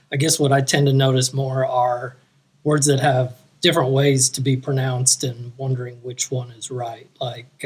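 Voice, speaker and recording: A man from Texas, talking easily and hesitantly with many pauses and filler words. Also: mid-range voice. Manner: easygoing, hesitant, many uhs. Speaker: guest sending a clip. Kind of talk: casual remarks to viewers.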